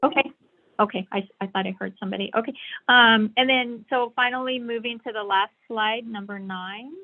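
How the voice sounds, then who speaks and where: A woman's voice: on the high side, fairly even intonation, normal speed. A woman giving a slide presentation on a conference call over a thin, tinny phone line. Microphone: phone or online call.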